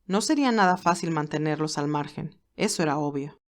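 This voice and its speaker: A woman's voice: deep voice, fairly quick. A woman narrator reading a scripted story.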